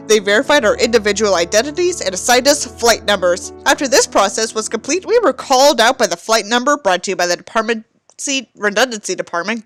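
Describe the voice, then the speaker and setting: A woman giving a dramatic reading with theatrical, mocking delivery and sardonic asides. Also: on the high side. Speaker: host reading a book aloud with mocking commentary.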